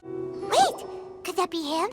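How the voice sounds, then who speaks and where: A tiny, excitable fairy sidekick with an extremely high, childlike voice that bounces widely in pitch. She exclaims her way through video game dialogue over loud music. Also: slow, full character voice. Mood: excited, worried. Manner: speaks of self in third person, exclamatory. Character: small excitable floating fairy companion, childlike girl.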